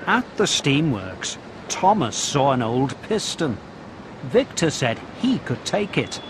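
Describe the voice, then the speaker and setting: A man narrating a children's storybook game in a deliberate, expressive storytelling style, as scripted narration. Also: mid-range voice, heavy background noise. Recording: music playing behind the voice. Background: background music.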